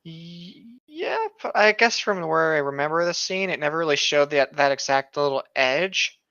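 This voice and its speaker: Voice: medium pitch, expressive, normal speed, pushed and bright, no room sound. A co-host reading internet horror stories aloud and reacting casually, on a dull lo-fi microphone.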